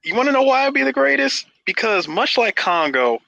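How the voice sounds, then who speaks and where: A man's voice: very high voice, sing-song, a little fast, creaky, highs cut off, crowd noise. A man co-hosting a casual podcast over a call, cutting in insistently and playfully. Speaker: podcast co-host. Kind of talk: casual chat.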